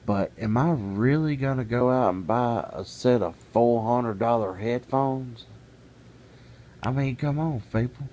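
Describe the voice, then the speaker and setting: A man's voice: medium pitch, unhurried, darkish tone, a little hiss or hum. A middle-aged man talking casually to camera in a plainspoken, folksy way, building into an exasperated rant. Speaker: vlogger. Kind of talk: casual ranting monologue.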